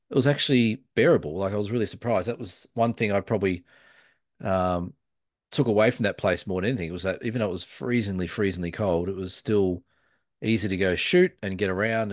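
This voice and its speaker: A man's voice: low voice, no echo, clean studio sound. A man with an Australian accent, co-hosting a casual photography podcast, easygoing and joking. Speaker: podcast co-host. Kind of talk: casual chat.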